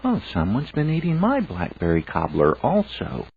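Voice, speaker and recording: A man voicing a fussy, indignant mother bear in a storybook tale, in falsetto. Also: huge pitch swings, normal speed, gravelly, breathy edge, exaggerated voice. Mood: surprised. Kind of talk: storybook character voice. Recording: phone-like, narrow band sound.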